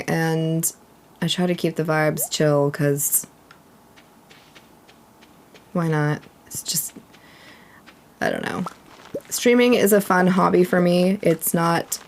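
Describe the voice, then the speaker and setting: A woman in her thirties from Texas chatting casually and relaxed while streaming a game, reading chat aloud. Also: low voice.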